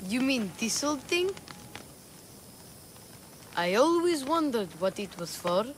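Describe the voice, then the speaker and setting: A woman playing an eager, cheeky little boy in a video game, excitable and quick to interrupt. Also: high-pitched, heavily acted. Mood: hopeful, excited. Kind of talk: video game cutscene acting. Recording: game audio with faint background noise.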